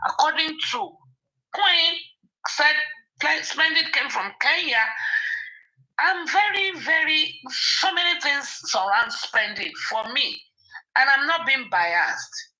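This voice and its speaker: A woman from Nigeria with a Nigerian accent, speaking as a guest in a casual chat. Her voice is very bright and fairly high, and she sounds earnest and skeptical. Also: animated, slow, rough recording. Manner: earnest and skeptical, mixes in Yoruba phrases.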